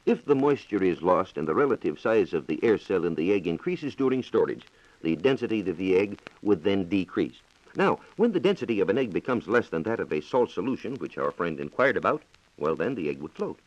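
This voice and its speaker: A man's voice: deep voice, big pitch swings, brisk. An American man reporting on science in a scripted, formal and precise 1940s radio style, on a dull, echoey old recording.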